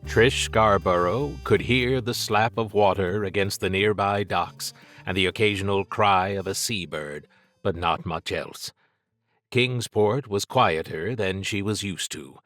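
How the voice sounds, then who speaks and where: A man reading scripted fiction as an audiobook narrator, in a measured, suspenseful storytelling manner. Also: lowish voice, a bit bright, soft background music.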